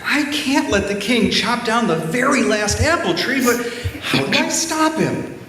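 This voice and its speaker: A man's voice: very high voice, expressive, a little slow, pushed and bright, full character voice. A man playing an anxious, deferential royal lackey in readers' theater, hesitant and pleading, in an echoey hall. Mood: earnest, pleading. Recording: very echoey hall, faint room noise.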